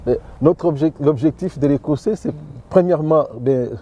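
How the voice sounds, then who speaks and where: A man's voice: a little high, normal speed, mellow, muffled recording. A man answering interview questions as the president of a church association, hesitating and repeating words.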